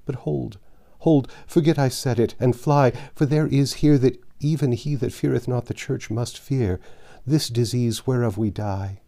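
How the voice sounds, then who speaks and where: A man's voice: mid-range voice, a little slow, gravelly, dark tone. A man voicing a gaunt, dying peasant woman in a storybook, grieving and bitter, speaking in impassioned archaic phrases. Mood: grateful, pleading.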